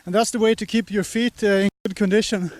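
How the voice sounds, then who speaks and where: A man, reporting live outdoors with a handheld mic while walking up a hill, halting with many pauses. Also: high-pitched, steady tone, dry recording. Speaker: trackside race reporter. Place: outdoors on a grassy hillside. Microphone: handheld microphone.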